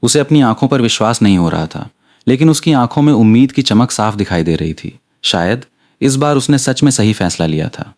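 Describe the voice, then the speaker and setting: A man's voice: mid-range voice, expressive, fairly quick, a little mellow. A man reading scripted dramatic narration for an audio story.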